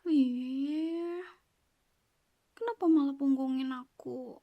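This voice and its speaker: A woman, whispering a slow, coaxing ASMR girlfriend roleplay into a close mic. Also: high voice, no echo, lo-fi sound. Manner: soft coaxing whisper, apologetic and pleading.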